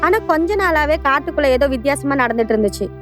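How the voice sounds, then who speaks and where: High-pitched woman narrating. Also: animated, fast, soft background music. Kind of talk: scripted fable narration.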